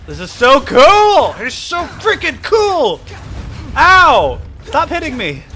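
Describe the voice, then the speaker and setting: A man doing casual live gameplay commentary, playful and excitable, often talking to himself. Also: very high voice, takes it slowly, soft background music. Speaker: game streamer.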